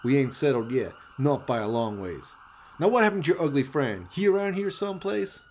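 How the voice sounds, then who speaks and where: A man's voice: medium pitch, animated, a little fast, a little hiss or hum, voice pushed up, close to an everyday voice. A man voicing a swaggering, foul-mouthed gang leader with a leering, bossy, folksy drawl in a radio play. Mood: bullying.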